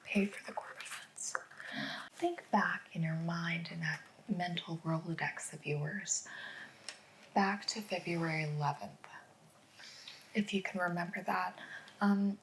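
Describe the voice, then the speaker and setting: A woman playing a patient female police interrogator in a soft-spoken ASMR roleplay, often dropping into whispers and slowly repeating answers back. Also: on the low side, steady tone, a little hiss or hum. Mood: calmly probing. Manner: slow, reassuring, echoes back answers. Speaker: ASMR performer.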